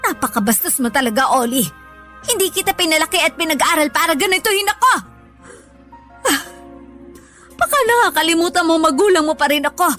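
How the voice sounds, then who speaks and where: A woman's voice: very high voice, lively intonation, fairly quick, long pauses, fairly bright tone, soft background music. A woman with a Filipino accent playing a hardworking, long-suffering older mother in a radio drama, plaintive and motherly. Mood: hurt, scolding.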